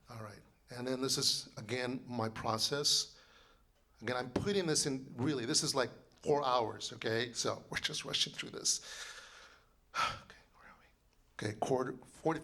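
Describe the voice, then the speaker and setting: A man in his sixties, a composer and librettist, giving a loud, rambling informal lecture full of fillers and restarts. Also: mid-range voice. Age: 60s.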